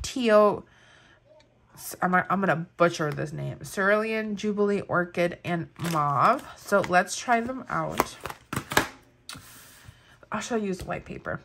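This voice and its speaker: A woman's voice: medium pitch, vocal fry, fairly bright tone, slight background noise. A woman in her thirties with a Spanish accent, chatting casually and gushing over planner products, with lots of fillers. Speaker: planner vlogger. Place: indoors at a table, overhead camera on hands.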